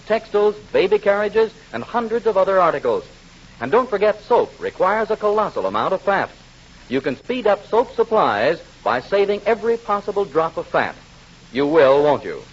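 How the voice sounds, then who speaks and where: A male announcer reads advertising copy in 1940s radio style. His voice is high and rough-edged, swinging widely in pitch as he makes an emphatic sales pitch. The recording is a dull vintage broadcast. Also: very animated, normal speed.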